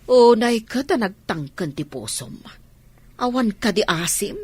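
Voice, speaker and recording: A woman's voice: medium pitch, animated, measured pace, a little mellow, husky, no room sound. A woman with an Ilocano accent playing a compassionate village aunt in a radio comedy drama, pleading and reproving as she talks. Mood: pleading, reproachful.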